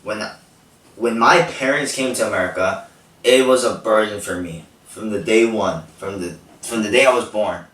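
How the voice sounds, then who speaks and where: A man's voice: fairly low pitch, normal speed. Teen guy chatting in an echoey room. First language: Korean. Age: teens. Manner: animated storytelling, frequent "like" fillers. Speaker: podcast host. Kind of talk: casual chat. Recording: echoey room, distant mic.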